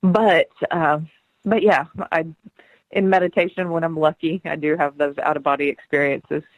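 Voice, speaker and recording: A woman's voice: low-pitched, steady tone, moderate pace, no room sound, poor audio. A middle-aged woman guest on a radio interview, speaking earnestly and laughing often as she tells her stories.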